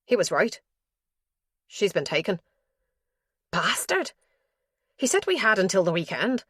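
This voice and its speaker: A woman's voice: medium pitch, sing-song, unhurried, fairly bright tone, breathy edge, dry recording, clean studio sound, heavily acted. A woman voicing an elderly father who pours his fears about his son into a diary, anxious and guilt-ridden.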